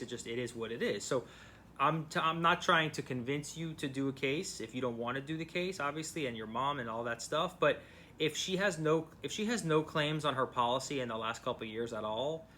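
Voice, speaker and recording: A man's voice: medium pitch, brisk, sharp tone. A man speaking as a personal injury lawyer, giving casual, blunt advice with repeated phrases and restarts. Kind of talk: casual phone advice to a client. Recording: clear background noise.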